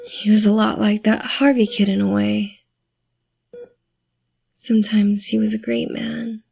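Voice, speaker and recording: A woman playing a guarded, wry teenage girl from the streets in an audio drama, with a husky, breathy voice. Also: mid-range voice, level tone, measured pace, a little mellow, full character voice. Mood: somber, confiding.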